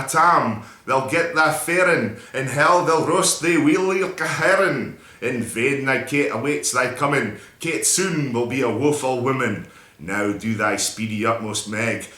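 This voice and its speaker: Echoey, forceful Scotsman reciting dramatic verse. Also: mid-range voice. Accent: Scottish. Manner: theatrical, emphatic storytelling in broad Scots dialect. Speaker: poetry reader.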